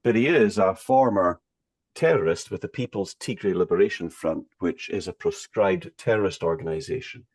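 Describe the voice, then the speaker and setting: A man's voice: sits low, moderate pace. A man hosting an interview, speaking in an emphatic, rhetorical way. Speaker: interviewer, host.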